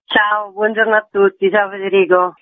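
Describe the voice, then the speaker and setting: A woman's voice: medium pitch, flat delivery, normal speed, dry recording. A woman speaking as a guest festival curator in a radio interview, explaining her project. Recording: tinny phone line on radio.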